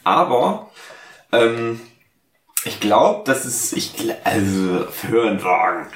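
A man's voice: on the low side, very animated, takes it slowly, echoey room, a little hiss or hum. A drunk man co-hosting a podcast, talking hesitantly and rambling with lots of ums and restarts. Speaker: podcast co-host. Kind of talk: casual drunken podcast banter.

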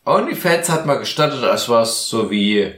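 A man's voice: mid-range voice, animated, normal speed, a bit bright, reverberant. A tipsy man co-hosting a casual podcast, rambling along with mock-formal phrasing. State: tipsy from mixed drinks. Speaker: podcast host. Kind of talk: casual, bantering podcast chat.

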